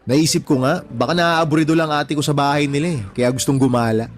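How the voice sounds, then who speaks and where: A man's voice: on the high side, brisk, lightly acted. An actor playing a talkative friend and father of four, giving advice in a radio drama. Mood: chatty. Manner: animated storytelling, advice-giving. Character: chatty older friend, teasing and advising. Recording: faint music bed behind voice.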